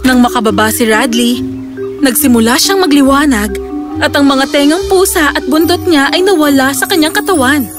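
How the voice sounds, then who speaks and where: High-voiced woman narrating a fairy tale. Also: normal speed, over music.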